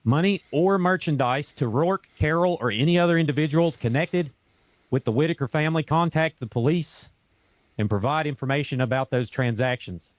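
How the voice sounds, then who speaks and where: A hoarse man reading a news report aloud in a casual way, stumbling now and then and adding his own corrections. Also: fairly high pitch, moderate pace, dry recording. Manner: casual, stumbles over words, adds corrections. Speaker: online video host reading a news statement.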